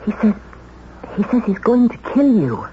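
An actress playing an earnest, long-suffering secretary who has turned bold, in 1970s radio drama style. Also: female voice, fairly high pitch, sing-song, normal speed. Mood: flustered. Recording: noisy, muffled 1970s radio recording.

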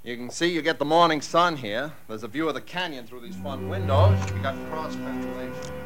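An actor playing a chatty, eager-to-please real estate agent in a 1940s radio drama style. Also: male voice, medium pitch, brisk, loud music behind the voice, natural voice. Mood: salesmanlike. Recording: vintage radio recording.

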